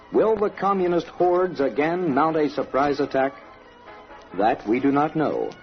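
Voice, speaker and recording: An American man narrating a scripted 1960s radio documentary in a solemn, dramatic, declarative manner. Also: mid-range voice. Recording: dull vintage radio broadcast, faint music.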